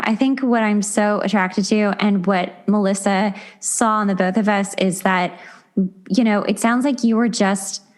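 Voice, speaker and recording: Woman podcast host, informal and effusive, mid-range voice, conversational interviewing. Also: medium pitch.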